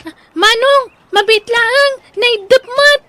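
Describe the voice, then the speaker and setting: A woman's voice: extremely high pitch, slow, pushed and bright, heavily acted. A woman playing a timid, hurting little girl in an audio drama, with a plaintive, childlike manner and a Filipino accent. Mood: innocent, protesting.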